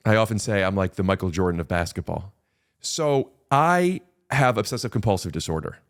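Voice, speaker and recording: A man's voice: low voice, lively intonation, brisk. A man in casual podcast conversation as a guest, rambling with restarts and repeated words.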